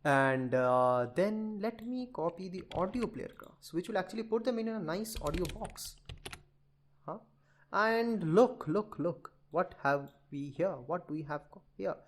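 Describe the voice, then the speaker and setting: A man's voice: high voice, animated, unhurried. A man giving an informal screen-share coding tutorial, rambling and chatty as he talks straight to his viewers. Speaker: programming tutorial presenter.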